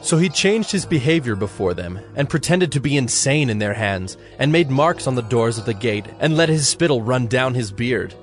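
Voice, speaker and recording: A man's voice: mid-range voice, lively intonation, normal speed, few pauses, soft background music. A man narrating a dramatized Bible story from a script.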